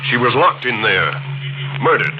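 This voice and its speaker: A man's voice: medium pitch, very animated, unhurried. An actor playing a firm, authoritative male doctor with an urgent, clipped manner, in 1940s radio mystery style. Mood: grave, urgent. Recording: narrow-band 1940s radio recording with music.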